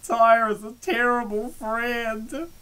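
A man hosting a comic dub, giving joking, theatrical and excitable commentary and reading comments aloud. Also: very high voice, takes it slowly, clear, resonant tone.

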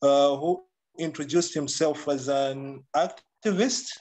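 A man's voice: on the high side, slow pace, darkish tone. Hesitant man cutting in on a call. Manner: hesitant, apologetic, many uhs. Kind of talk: online meeting talk. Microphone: video call.

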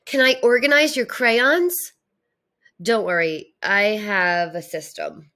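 A woman's voice: mid-range voice, slow pace, pushed and bright. A woman voicing a small, childlike baby tooth from a storybook, eager and full of questions. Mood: curious.

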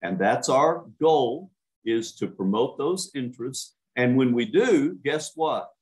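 A man's voice: medium pitch, animated, slow, rough recording. An older man, a minister, preaching a sermon to a home church over a webcam, earnest and emphatic, stretching out key words.

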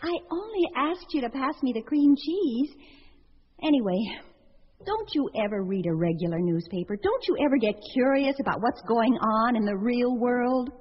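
A woman's voice: a little high, expressive, moderate pace, no echo. A woman acting in a radio drama as a wry, fluttery entertainer who muses aloud about her failed proposals. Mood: needling. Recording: dull lo-fi radio sound, faint music.

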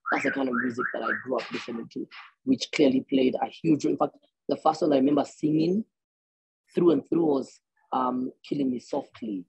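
A Kenyan man in his thirties talks on a video call through earbuds, his voice gravelly and husky. He rambles warmly through his answers, restarting phrases often. The sound is dry and close. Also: mid-range voice, fairly even intonation, fairly quick, no echo, poor audio. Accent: Kenyan English. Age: 30s. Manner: rambling, many restarts and fillers, laughs easily. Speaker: guest musician. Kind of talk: conversational interview answers. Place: small room indoors.

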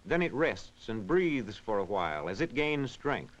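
A man reading scripted educational narration in a measured, instructional, clearly enunciated way. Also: lowish voice, very animated. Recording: dull, band-limited vintage film soundtrack.

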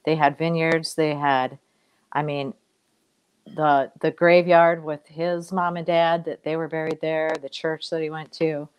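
A woman's voice: sits low, level tone, unhurried, smooth. A middle-aged woman, a guest on a video call, telling family stories in a rambling, reflective way from a room at home. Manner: warm, rambling, reflective storytelling. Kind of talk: casual storytelling in an interview. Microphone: webcam on a video call.

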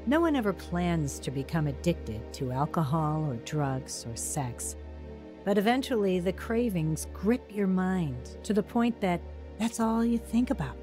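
A woman playing a narrator who looks back on her troubled youth in measured, reflective audio drama storytelling. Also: on the low side, big pitch swings, music in the background. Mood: reflective, regretful. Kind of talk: scripted first-person dramatic narration.

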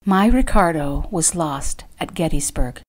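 A woman narrator voicing a wistful widowed neighbor in a Western romance, soft and sorrowful with sighs. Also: sits low, lively intonation, measured pace.

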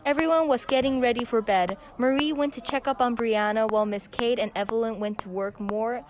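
Teen female narrator, high-voiced, reading a student audio drama over audible noise. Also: a little fast. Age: young teen. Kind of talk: scripted story narration. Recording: clicky amateur recording with background noise.

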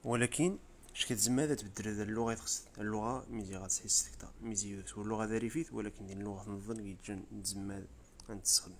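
Riffian man, lowish voice, quick informal explainer narration, tutorial voice-over. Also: steady tone, slight background noise. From Rif region of Morocco. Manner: brisk, informal, step-by-step explaining. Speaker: tutorial presenter. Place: voice-over, not the pictured scenes.

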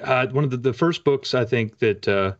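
A middle-aged man with an American accent, chatting casually as an author being interviewed, in a halting, reflective way. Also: mid-range voice. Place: home room with bookshelves, indoors. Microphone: video call. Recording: dull, muffled video-call audio.

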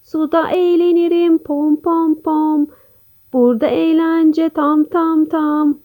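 Woman voicing an exuberant, high-pitched young hippo. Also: fairly even intonation, moderate pace, dark tone, natural voice. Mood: joyful, singing out. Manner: exuberant, sing-song. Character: cheerful, giggly, playful young hippo. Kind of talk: children's storybook character voice.

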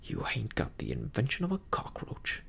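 A man voicing a crafty, domineering pirate sea cook in a storybook, taunting in rough nautical dialect with a husky, gravelly voice. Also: lowish voice, huge pitch swings, moderate pace, fairly bright tone, whispered, pitched below the performer's own voice, full character voice. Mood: angry, threatening.

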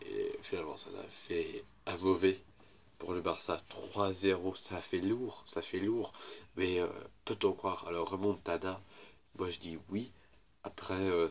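A young man with a head cold talks over football clips in a deep, congested voice. He rambles casually, repeating himself as he points out each play. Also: a bit bright, a little hiss or hum. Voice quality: congested, little voice. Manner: rambling, repeats "regardez" and "voilà". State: has a cold, weak voice. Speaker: YouTuber commenting match highlights. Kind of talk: casual football highlight commentary.

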